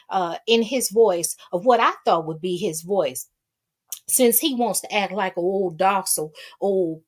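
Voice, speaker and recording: American woman, mid-pitched voice, casual commentary host, sassy, colloquial, emphatic repetition.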